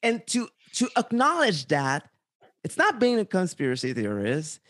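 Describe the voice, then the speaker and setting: A man from Haiti, a guest in a casual interview, speaking in an earnest, measured, slightly hesitant way. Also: high-pitched, wildly swooping pitch, a bit bright, no room sound, studio quality.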